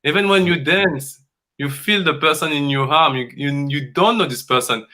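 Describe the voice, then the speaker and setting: A man coaching in an earnest, emphatic way, often repeating himself in halting English. His voice sits a bit high for a man and has a bright, forceful edge. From Congo. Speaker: dance coach. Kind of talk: conversational coaching talk.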